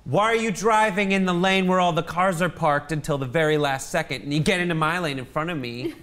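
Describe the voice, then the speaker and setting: A man's voice: on the high side, a little fast, fairly bright tone. A man giving his opinions casually as a panelist on a talk show.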